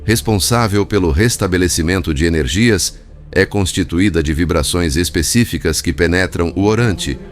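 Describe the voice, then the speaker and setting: A Brazilian man reading a spiritist book as scripted narration, in a formal, measured manner. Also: low voice. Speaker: audiobook narrator. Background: background music.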